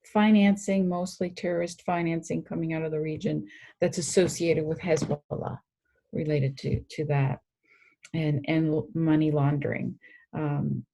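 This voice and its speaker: A woman giving an informal expert briefing on a panel, halting as she searches for words. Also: sits low, flat delivery, gravelly, warm tone. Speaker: regional security expert on a panel.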